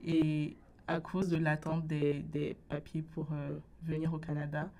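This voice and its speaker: Brief: teenage woman, very low, flat delivery, soft-spoken radio guest. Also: measured pace. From Burkina Faso. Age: late teens. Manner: soft-spoken, searches for words. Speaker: interview guest. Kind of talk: radio interview. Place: radio studio.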